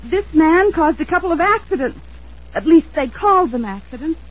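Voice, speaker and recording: A worried young lady in an old radio play. Her voice is high and earnest, pressing every point insistently. The recording is muffled and dated. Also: lively intonation, moderate pace. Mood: pleading, insistent. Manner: earnest, emphatic, dramatic. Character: anxious, insistent young woman pleading to be believed. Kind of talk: 1950s radio drama acting.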